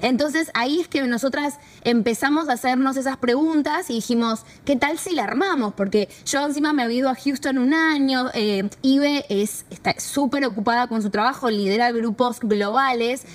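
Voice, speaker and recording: A woman's voice: sits high, moderate pace, hardly any pauses. A woman from Argentina with an Argentine accent, chatting casually about founding a women's community, thinking aloud with fillers. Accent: Argentine Spanish. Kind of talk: casual podcast conversation.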